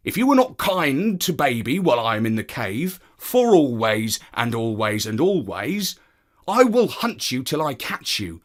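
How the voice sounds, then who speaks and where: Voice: medium pitch, big pitch swings, measured pace. A storyteller as a stern, warning dog. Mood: threatening.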